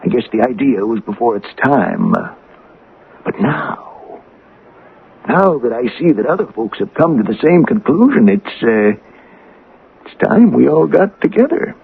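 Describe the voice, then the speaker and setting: An actor playing an insinuating, folksy, coolly scheming townsman in a 1980s radio drama, with a raspy, creaky voice. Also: medium pitch, wildly swooping pitch, measured pace, heavily acted. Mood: aggrieved, insinuating. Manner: folksy, leading, persuasive. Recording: dull lo-fi radio recording.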